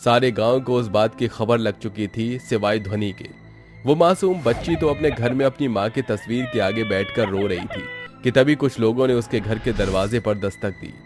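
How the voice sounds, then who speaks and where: A man's voice: medium pitch, moderate pace, music in the background. A man narrating a scripted horror story for a cartoon.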